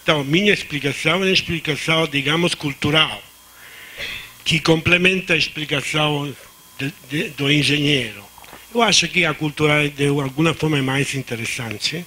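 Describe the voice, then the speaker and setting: A man's voice: a little high, normal speed, bright, forceful tone, slightly rough. A man with an Italian accent, a native Italian speaker, giving unscripted remarks as a discussant on a conference panel, often restarting words and slipping into Spanish. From Italy.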